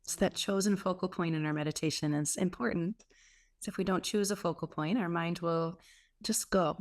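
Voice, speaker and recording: A woman leading a guided meditation as a teacher, speaking in an unhurried way with gentle pauses between phrases. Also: fairly low pitch, fairly even intonation. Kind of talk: guided meditation, softly instructive.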